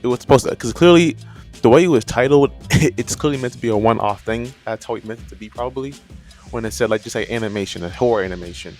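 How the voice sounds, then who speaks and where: A man's voice: mid-range voice, fast talker, a little mellow, faint music behind. A man with a stutter giving casual, unscripted opinion commentary as a video creator, rambling and often rephrasing himself. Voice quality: stuttering speech. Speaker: video creator reviewing horror animation.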